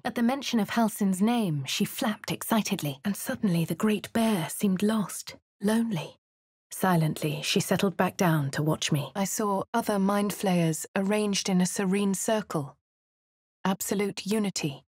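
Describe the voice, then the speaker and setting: A woman's voice: mid-range voice, husky, no room sound, clean studio sound, lightly acted. Poised posh adventurer woman, as an actress voices her. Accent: upper-class English. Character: posh young adult woman adventurer, composed. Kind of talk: game character narrating her actions.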